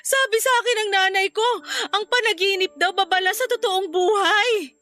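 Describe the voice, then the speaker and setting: A woman's voice: very high-pitched, normal speed, sharp tone, faint music behind. A woman playing an anxious, grief-stricken mother in a radio drama, pleading and crying, with a Filipino accent. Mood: tearful, fearful. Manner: pleading, tearful delivery. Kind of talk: emotional radio drama acting.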